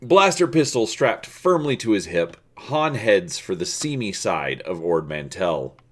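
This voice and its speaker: A man hosting and dramatically reading an adventure story, deliberate and stretching words for emphasis. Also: on the low side, sing-song. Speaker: video host and storybook narrator.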